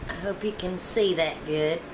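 A woman's voice: lowish voice, normal speed. A woman, chatting homey and casual as she demonstrates baking in her home kitchen. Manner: chatty, homey, talks to viewers while working. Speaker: home cooking vlogger. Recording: echoey kitchen with background noise.

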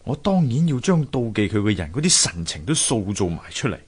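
An actor playing an earnest, thoughtful sculptor husband in a Hong Kong Cantonese radio drama, musing aloud theatrically. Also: male voice, lowish voice, sing-song, brisk, slightly rough. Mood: eager, thoughtful.

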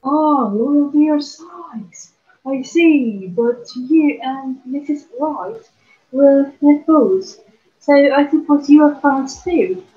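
Young woman playing dismissive county attorney, high-pitched, hesitant, questioning, student stage play, muffled recording. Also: animated, slow, warm tone. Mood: condescending. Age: young adult. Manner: hesitant delivery with fillers. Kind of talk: amateur student drama performance. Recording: muffled band-limited recording, music behind.

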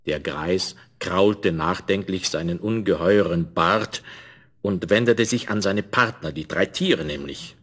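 A man narrating a fairy tale in a measured, expressive way, addressing the listener, on an old vinyl record. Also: low voice, husky. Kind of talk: scripted fairy-tale storytelling. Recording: crackly 1970s vinyl record transfer.

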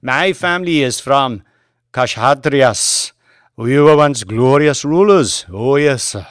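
A man's voice: medium pitch, animated, slow, clean tone, dry recording, clean studio sound. A man playing a chatty, proud Indian chauffeur with an Indian accent, deferential and insistent in an audiobook. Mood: proud, disdainful. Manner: deferential, insistent, non-native grammar.

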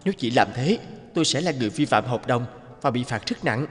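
Cool cartoon private detective. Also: male voice, mid-range voice, expressive, quick pace, slightly rough. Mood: earnest. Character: cool, teasing male private detective, protective. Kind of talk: cartoon dialogue.

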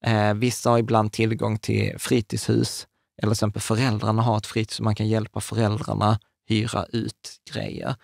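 A man's voice: lowish voice, monotone, vocal fry, darkish tone, no echo. A man in his thirties co-hosting a podcast, explaining with enthusiasm in a casual chat, speaking into a table studio mic in a small room. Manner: enthusiastic, restarts and fillers, gestures while talking. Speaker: podcast co-host. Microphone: large studio mic on a table stand.

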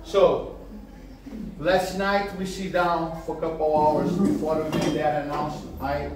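A man whose first language is Portuguese, speaking earnestly and apologetically to a seminar audience as race organizer, with halting restarts. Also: fairly high pitch, flat delivery, a little mellow, slight background noise. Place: meeting room with large windows. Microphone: far camera. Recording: echoey room from far off.

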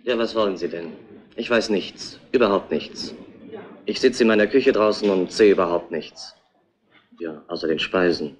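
An actor playing a grumpy, evasive roadhouse cook in a retro crime radio play. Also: male voice, sits low, normal speed, close to an everyday voice. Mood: grudging. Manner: grudging, defensive. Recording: dull, band-limited old radio sound.

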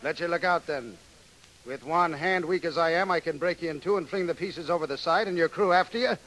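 A man's voice: a little high, fairly quick, a bit bright, natural voice, poor audio. Man playing a brawny, blustering Irish sailor. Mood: threatening. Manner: blustering, lilting, flowery talk. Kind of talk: 1930s radio acting. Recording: hissy 1930s radio broadcast.

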